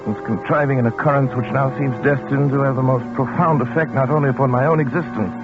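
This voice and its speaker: An actor playing a cold, obsessed scientist and surgeon in a 1940s radio drama, speaking in a clipped, imperious, detached manner. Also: male voice, medium pitch, steady tone, fast talker, natural voice. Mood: weary, grave. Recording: phone-like old radio broadcast with loud music.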